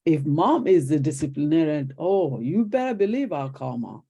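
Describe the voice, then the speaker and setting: Older Nigerian woman, guest storyteller, rough-edged mellow tone. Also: low voice, moderate pace. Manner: animated storyteller acting out quoted exchanges. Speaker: guest, retired teacher. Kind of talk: conversational interview storytelling.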